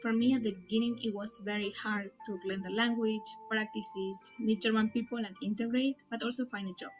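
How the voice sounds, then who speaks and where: A woman speaks in a fairly high voice, steady and explanatory as she describes her project. Light music plays faintly behind her. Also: a little fast, low-quality recording. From Argentina. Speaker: founder of a nonprofit. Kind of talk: personal testimony.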